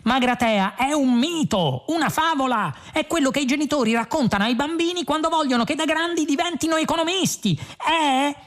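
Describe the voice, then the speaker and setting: Mocking space traveller guy, as a man reads him, high and fast, exasperated. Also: a bit bright. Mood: scoffing.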